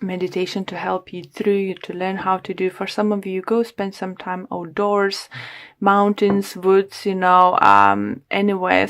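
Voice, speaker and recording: A woman reading tarot cards aloud in unhurried, deliberate English, pausing often to reach for words in what is not her first language. Her voice is medium-pitched and slightly worn from a day of readings. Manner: halting, searching for English words. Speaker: tarot reader.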